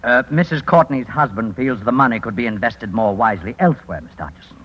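A fussy, formal lawyer played by a man in a vintage radio play. He hesitates apologetically in a husky voice, heard in a long hall-like reverb on a muffled recording. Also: medium pitch, fast talker, lightly acted. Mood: formal, uneasy. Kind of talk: 1950s radio drama acting.

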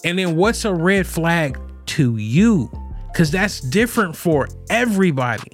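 Man with a slightly high voice, rambling. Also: big pitch swings, measured pace, soft background music. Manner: rambling, many fillers and restarts. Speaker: podcast host. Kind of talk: casual solo talk on relationships.

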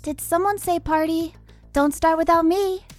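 A woman voicing a lively, party-loving young female friend in a children's comedy audio drama. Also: very high voice, moderate pace, dry recording, soft background music. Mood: playful.

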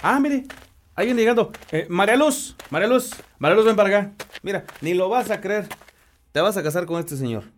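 A man's voice: high-pitched, lively intonation, brisk, a little hiss or hum. An actor playing a bossy, wheedling rural Mexican father in an audio drama, insistent and folksy, with a Mexican accent. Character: bossy, wheedling rural father bargaining away his daughter.

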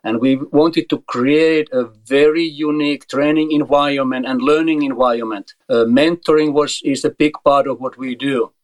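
A middle-aged man from Finland, a tennis center director, answering interview questions in a halting way with many fillers and repeated words. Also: mid-range voice, steady tone. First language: Finnish. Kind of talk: podcast interview.

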